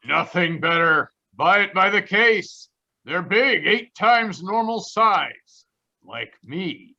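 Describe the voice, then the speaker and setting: A man plays a big hearty eater in a vintage radio commercial. His voice is high, very slow and forceful, with dull lo-fi sound. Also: animated, gravelly, exaggerated voice. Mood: hearty, boastful. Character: big, hearty, slow-talking cereal lover in advert. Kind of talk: old-time radio advert comedy. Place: home, live online video performance. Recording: dull lo-fi video-call sound.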